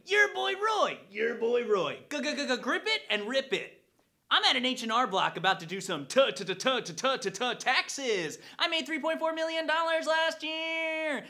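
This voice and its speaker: Casting: man as swaggering young YouTube personality, parody delivery, bright and animated. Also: extremely high pitch, vocal fry, full character voice. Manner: swaggering, stuttered remix-like repeats. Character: cocky young YouTube star repeating his catchphrase. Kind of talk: vlogger parody.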